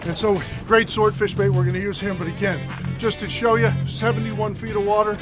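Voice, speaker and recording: A man acting as a fishing guide on a boat, chatty and energetic, explaining tips step by step. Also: sits high, fairly quick, loud music behind the voice. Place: on a boat offshore, outdoors.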